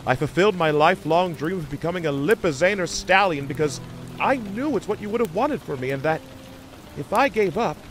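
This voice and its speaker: A man voicing a loving, insecure teenage male beaver in a fan fiction reading, earnest and gentle. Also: a little high, lightly acted. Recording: music behind the voice.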